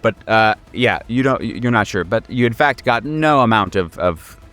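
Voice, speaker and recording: Game master, male, lowish smooth voice, improvising narration with hesitations and dry playfulness, close dry sound. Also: lively intonation, a bit bright, soft background music. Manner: hesitant, halting, searching for words; wry, playful, slangy. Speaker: game master of a horror tabletop podcast.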